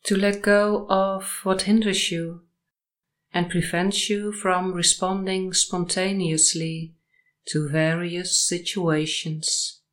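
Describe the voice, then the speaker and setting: A woman guiding a sleep meditation and hypnosis session in short, measured, soothing phrases with long pauses between them. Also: lowish voice, level tone.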